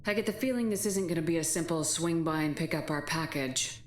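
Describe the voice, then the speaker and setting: An actress playing a tough, skeptical military commander in a video game, blunt and commanding. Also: female voice, sits low, fairly quick, a little hiss or hum, natural voice. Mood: skeptical, challenging. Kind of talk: dramatic video game dialogue.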